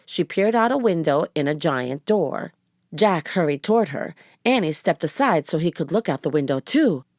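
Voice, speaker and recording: A woman's voice: low-pitched, animated, normal speed, darkish tone, no room sound, studio quality. A woman narrating a children's chapter book aloud as a storybook reader.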